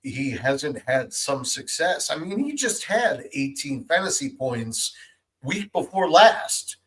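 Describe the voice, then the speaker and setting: A man's voice: mid-range voice, big pitch swings, slow, fairly bright tone. A man co-hosting a fantasy football podcast, talking casually with frequent hesitations and fillers. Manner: chatty, hesitant, many ums and you-knows. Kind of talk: casual sports analysis chat.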